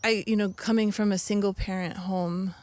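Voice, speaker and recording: A woman, an officer with a charity, answering interview questions earnestly, with halting restarts and fillers. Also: mid-range voice, fairly even intonation, a little mellow. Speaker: Salvation Army officer.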